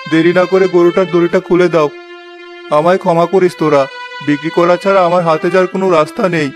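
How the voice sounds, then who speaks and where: A man's voice: sits high, fairly even intonation, fast, faint music behind, natural voice. A man playing a poor, weary old village farmer and father in a cartoon, plaintive and resigned. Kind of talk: cartoon fairy tale dialogue.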